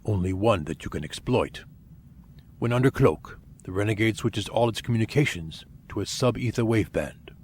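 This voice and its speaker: A grave alien warrior officer acted by a man with a fairly low voice. He is formal and deliberate, honorable yet secretive, in a sci-fi audio drama. A light noisy ambience sits behind him. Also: lively intonation, darkish tone, natural voice. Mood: grave warning.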